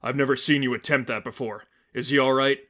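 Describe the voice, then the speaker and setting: A man's voice: mid-range voice, steady tone, brisk, a bit bright, natural voice. A man voicing a grave, stern masked vigilante hero with an authoritative manner in a story reading.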